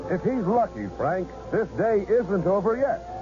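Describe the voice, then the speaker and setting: An actor playing an opinionated, cynical co-commentator with a pompous, glib delivery in a satirical radio play. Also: male voice, high-pitched, animated, a little slow, music in the background. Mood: wry. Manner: pompous, glib, know-it-all. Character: opinionated, cynical male co-commentator relishing violence. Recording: muffled radio sound, heavy background noise.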